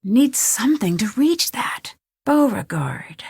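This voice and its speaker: A woman's voice: fairly high pitch, very animated, slow, vocal fry, slightly rough, dry recording, studio quality, exaggerated voice. A young woman playing a curious, plucky amateur detective in an adventure video game, thinking aloud to herself. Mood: hushed, secretive. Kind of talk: scripted adventure game voice lines.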